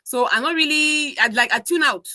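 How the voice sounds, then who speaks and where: A woman's voice: high-pitched, normal speed, bright, forceful tone, no echo, studio quality. A woman with broadcasting training chatting casually on a podcast. She is opinionated and slips into pidgin. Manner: opinionated, mixes pidgin into her English. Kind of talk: casual chat.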